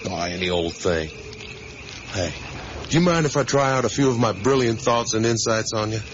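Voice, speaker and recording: An actor playing an easygoing, talkative middle-aged father in a naturalistic radio drama, with a folksy, rambling, gently teasing manner. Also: lowish voice, moderate pace, close to an everyday voice. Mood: musing, playful. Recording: muffled, hissy 1980s radio broadcast.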